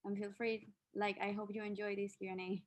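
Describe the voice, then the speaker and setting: A woman's voice: medium pitch, flat delivery, fairly quick. A young woman from Venezuela, a dental student introducing herself on a panel in a friendly way. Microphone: video call.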